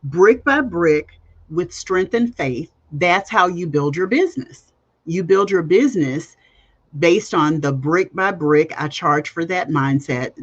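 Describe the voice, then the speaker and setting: A woman's voice: sits low, dull audio. A woman hosting a coaching podcast livestream, speaking emphatically, repeating key phrases and putting direct questions to her listeners. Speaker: podcast host and business coach.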